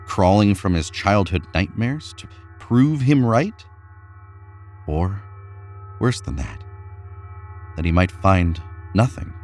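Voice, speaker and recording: A man reading a horror story aloud in a measured, suspenseful way. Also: low voice. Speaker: narrator. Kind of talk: scripted horror story narration.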